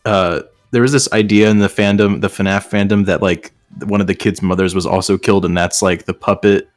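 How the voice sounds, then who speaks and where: Male comedy host, low register, sardonic and foul-mouthed, casual group banter over light music. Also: flat delivery, brisk, dry recording. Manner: sarcastic, sweary. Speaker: show host reading and mocking fan fiction. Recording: music behind the voice. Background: background music.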